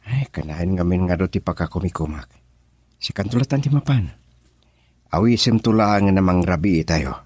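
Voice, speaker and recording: Deep, husky, expressive Filipino husband. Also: male voice, normal speed, dry recording. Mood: curious, casual. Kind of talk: Filipino radio drama acting.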